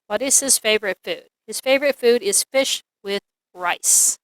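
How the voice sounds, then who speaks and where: A woman teaching an English lesson, reading examples aloud in a deliberate, clearly enunciated, instructional way. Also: a little high, dry recording.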